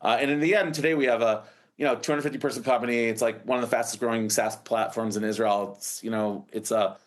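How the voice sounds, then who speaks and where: A man's voice: mid-range voice, steady tone, fast. An American man in his forties, a startup founder telling his story in an interview, rambling with many restarts and fillers. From United States.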